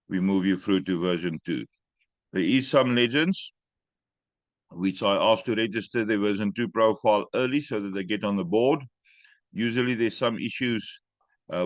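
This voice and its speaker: A man hosting an online training call, giving brisk instructions with joking asides. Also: on the low side, no room sound, muffled recording.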